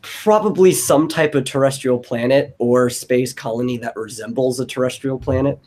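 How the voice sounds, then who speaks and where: A man's voice: mid-range voice, normal speed, a little mellow. A man hosting a casual brainstorming chat, thinking aloud in a loose way. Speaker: host of a brainstorming stream. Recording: noticeable background noise.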